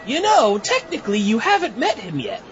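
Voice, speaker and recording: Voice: medium pitch, wildly swooping pitch, normal speed. An actor voicing a cheerfully dim, pestering mad scientist inventor in an audio comedy, chirpy and obliviously pleased. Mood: cheerfully annoying. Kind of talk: audio comedy drama acting. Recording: muffled audio with faint background noise.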